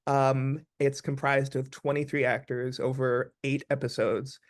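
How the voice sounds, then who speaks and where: A man's voice: mid-range voice, flat delivery, measured pace. A man, an actor, talking casually as the guest in an interview.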